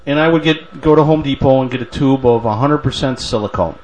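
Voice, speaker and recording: A man's voice: medium pitch, a little fast, darkish tone, rough recording. A man hosting a casual call-in show, chatting loosely and often repeating words as he works into his point. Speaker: host of a pinball show.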